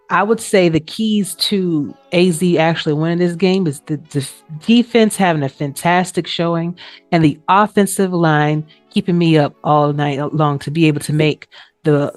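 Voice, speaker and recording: A woman co-hosting a sports show, giving a casual recap in informal, colloquial phrasing. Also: deep voice. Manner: informal, colloquial phrasing with trailing "you know". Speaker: co-host of a sports league show, also a player. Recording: roomy echo, music behind.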